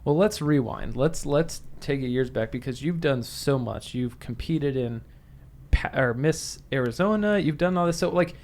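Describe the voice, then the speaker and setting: A man's voice: mid-range voice, lively intonation, moderate pace, a little mellow. A man hosting a podcast interview, talking casually with frequent restarts. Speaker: podcast host. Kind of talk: conversational interview.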